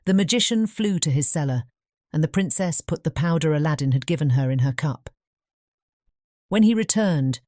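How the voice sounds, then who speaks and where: Very low-pitched, mellow woman storyteller. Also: no echo, studio quality. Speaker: audiobook narrator. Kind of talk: scripted storybook narration.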